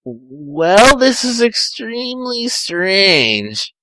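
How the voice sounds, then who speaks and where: A brash and excitable young male cartoon hedgehog, voiced by a man. The pitch sits somewhat high and the sound is dry, in the manner of a homemade fan fiction reading. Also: extremely slow, no echo, exaggerated voice, poor audio. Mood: concerned, willing.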